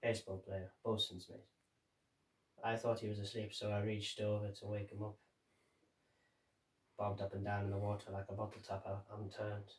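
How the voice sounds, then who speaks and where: A man playing a grim, haunted sailor who survived a sinking, reciting a dramatic monologue in halting, measured phrases with deliberate pauses. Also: on the low side, monotone, lightly acted. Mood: somber. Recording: roomy echo, distant microphone.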